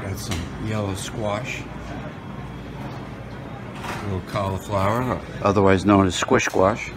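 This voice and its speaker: A man's voice: on the low side, fairly even intonation, slow pace, heavy background noise. A man filming himself at a buffet restaurant, musing aloud about the food with many fillers, recorded on a handheld phone. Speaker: food vlogger. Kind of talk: casual narration while filming. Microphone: phone camera held while walking.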